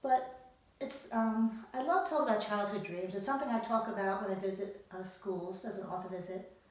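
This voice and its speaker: A woman's voice: medium pitch, normal speed, darkish tone. A woman author giving an emphatic storytelling talk to young readers, posing rhetorical questions. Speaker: author presenting own book to young readers. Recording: very echoey room, distant from microphone.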